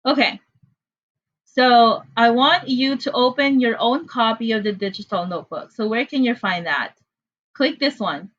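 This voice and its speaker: A woman's voice: fairly high pitch, a bit bright, highs cut off. A woman from the Philippines with a Filipino accent, teaching an online class in a chatty, apologetic way with frequent fillers. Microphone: computer mic on a video call.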